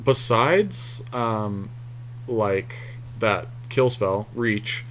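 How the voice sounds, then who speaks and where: Low-pitched man talking through a card game. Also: animated, drawn-out words, fairly bright tone, a little hiss or hum, low-quality recording. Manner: informal, profane banter. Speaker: podcast co-host playing an online card game. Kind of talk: casual game commentary, talking through plays.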